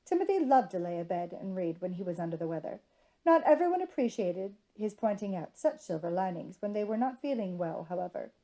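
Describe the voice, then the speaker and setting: Woman narrating a novel, very soft dark tone. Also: medium pitch, animated, fairly quick, rough recording. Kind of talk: scripted storybook narration.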